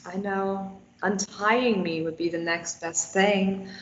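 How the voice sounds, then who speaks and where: A woman's voice: mid-range voice, fairly even intonation, slow pace, close to an everyday voice. A woman reading a sardonic, probing young captive at a play table read, teasing and wry. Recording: echoey room, muffled lo-fi.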